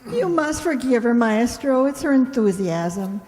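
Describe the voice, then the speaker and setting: A woman's voice: a little high, lively intonation, measured pace, darkish tone, long reverb tail, slight background noise. A woman playing a sensible, wry young woman who patiently explains things in an old-time radio comedy. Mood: dry, sensible.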